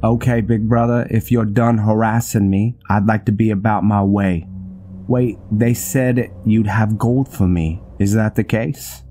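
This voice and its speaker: A man's voice: fairly low pitch, fairly even intonation, unhurried, warm tone, soft background music, natural voice. A man playing a cocky, folksy young vampire assassin in a fantasy game, with a drawling, taunting manner. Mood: chummy, brash. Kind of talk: fantasy game character dialogue.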